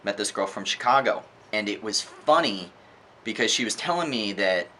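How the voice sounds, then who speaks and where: A man's voice: on the low side, expressive, normal speed, bright, forceful tone, slight background noise. A man giving an informal, opinionated book review monologue in a conversational, emphatic way, repeating words for effect.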